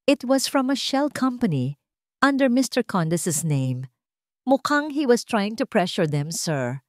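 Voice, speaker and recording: A woman's voice: fairly high pitch, big pitch swings, a little slow, no room sound, studio quality, voice pushed up. A woman with a Filipino accent voicing a deferential male business associate giving a formal report in a story. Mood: businesslike, deferential.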